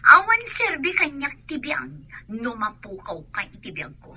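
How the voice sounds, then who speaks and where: A woman's voice: high voice, sing-song, unhurried, pushed and bright, heavily acted. A woman with an Ilocano accent playing a scheming young mistress in a radio drama. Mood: threatening.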